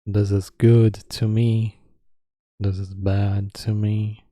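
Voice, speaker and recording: A man guides a meditation in a very soft, dark, relaxed voice. He speaks slowly and calmly, leaving long pauses between short phrases. The sound is dry and close. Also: fairly low pitch, no room sound.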